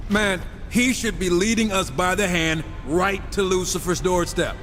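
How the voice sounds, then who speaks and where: A man's voice: high-pitched, steady tone, measured pace, fairly bright tone. An actor playing a wisecracking, impatient male horseman in a video game, sarcastic and flippant. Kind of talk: video game cutscene acting. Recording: long hall reverb, music behind.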